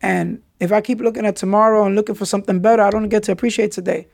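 A man recounts his past in candid street slang. His voice sits fairly high and carries a heavy gravelly fry. The sound is close and dry. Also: fairly even intonation, rapid-fire, darkish tone, no room sound. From New York City. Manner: street slang, frequent "yo" and "you understand?". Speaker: podcast host. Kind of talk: candid personal storytelling.

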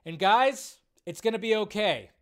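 A middle-aged American man with a smooth, clean voice that sits a bit high for a man. He talks like a livestream host, casual and rambling. His delivery is blunt and sardonic, with swearing and stretched-out words for emphasis. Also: expressive, moderate pace. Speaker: host of a live movie news show. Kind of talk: casual, rambling livestream commentary on entertainment news.

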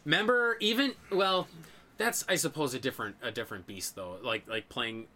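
A man's voice: medium pitch, normal speed, sharp tone. A man co-hosting a podcast, chatting casually and informally about his hobbies, with some swearing. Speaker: podcast co-host. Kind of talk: casual chat about games and movies.

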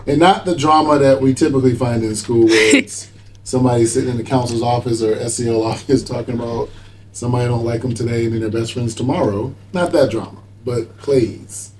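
A man's voice: mid-range voice, darkish tone, slight background noise. A middle-aged man hosting a conversational interview, encouraging and echoing back what his guests say. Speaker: talk show host, school superintendent.

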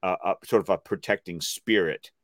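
A man co-hosting a casual discussion show, explaining references in an enthusiastic, nerdy, halting way. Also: deep voice, no room sound, clean studio sound. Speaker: co-host of animated series discussion show.

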